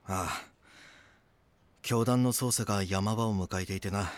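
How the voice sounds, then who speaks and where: Man as low-voiced, serious police detective. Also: no room sound, natural voice. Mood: tired, tender. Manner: serious, measured. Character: stern male police detective narrating, gruffly tender husband. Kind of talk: scripted documentary-drama narration and acting.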